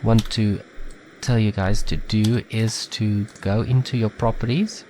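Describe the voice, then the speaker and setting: A man with a slightly nasal, stuffed-up voice from a head cold, giving a relaxed, chatty step-by-step software tutorial. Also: fairly low pitch, measured pace, slight background noise. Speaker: tutorial maker. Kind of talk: casual screen-recorded software tutorial.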